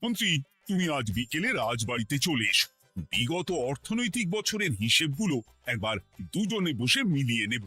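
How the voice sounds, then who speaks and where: A man's voice: on the high side, sing-song, normal speed, sharp tone, slightly rough, faint music behind, full character voice. A man voicing a busy, kindly but authoritative king in a cartoon, with theatrical, emphatic delivery. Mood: businesslike. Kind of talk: animated cartoon voice acting.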